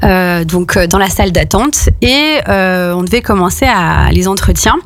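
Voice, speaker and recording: A woman's voice: on the low side, animated, normal speed. A young woman from France, speaking, tells her career story with many fillers and restarts. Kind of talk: casual spoken storytelling.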